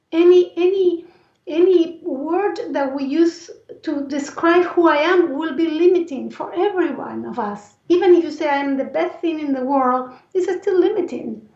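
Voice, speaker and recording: A woman from Argentina with an Argentine Spanish accent and a raspy voice, speaking earnestly and reflectively as an interview guest. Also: high-pitched, darkish tone. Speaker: interview guest, life and movement coach. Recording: roomy echo, distant mic, dull sound.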